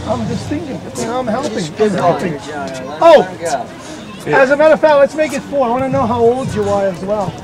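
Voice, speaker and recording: A man's voice: extremely high pitch, expressive, fairly quick. A man coaching a group of children on outdoor tennis courts, upbeat and coaxing, recorded from a distance with music and a crowd behind. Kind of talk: giving instructions to a group of children.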